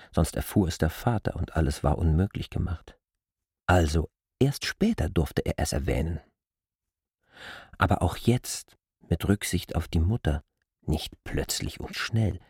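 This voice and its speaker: A man's voice: low voice, a little mellow, slightly rough, no echo, clean studio sound. A man narrates a literary radio play. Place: studio.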